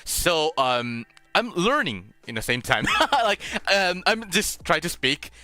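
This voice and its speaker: A man hosting a casual streamed chat, halting as he searches for words in a language that is not his native Japanese, sometimes switching to Spanish. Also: a little high, very animated, bright, forceful tone, dry recording. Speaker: host of a streamed radio show. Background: music.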